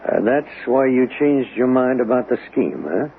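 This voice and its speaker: An actor playing a hard, skeptical interrogator in a radio drama, speaking in an insistent, sardonic, clipped way. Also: male voice, medium pitch, animated, moderate pace, natural voice. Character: hard, skeptical interrogator pressing a murder suspect. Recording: noisy, narrow-band vintage radio recording.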